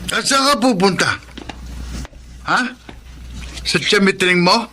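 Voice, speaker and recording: A Filipino actor playing an abusive, boastful young husband in a radio drama, with a menacing, taunting delivery. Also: male voice, sits high, animated, slow, pushed and bright, a little hiss or hum, heavily acted. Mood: angry, taunting.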